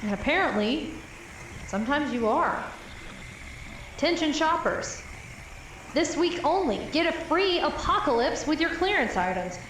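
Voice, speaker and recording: An actress playing a jaded, sardonic store employee making mock announcements over an intercom in an apocalypse audio drama. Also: female voice, high-pitched, big pitch swings, measured pace, long pauses, a bit bright, full character voice. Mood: mock cheery. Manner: sarcastic mock-announcer delivery. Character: jaded young female superstore employee, lone apocalypse survivor, sardonic. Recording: echoing intercom, distant, faint noise.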